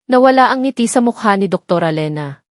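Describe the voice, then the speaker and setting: A woman's voice: medium pitch, expressive, brisk, no room sound. Filipino woman narrating dramatic stories, dry sound. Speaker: story narrator. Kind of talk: dramatic scripted storytelling narration.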